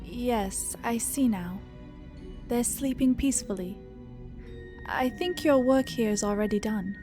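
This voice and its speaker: An actress playing a wry, self-possessed adventurer in a video game, speaking in a dry, direct, sceptical way. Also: female voice, fairly high pitch, measured pace, mellow, soft background music, close to an everyday voice. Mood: soothing.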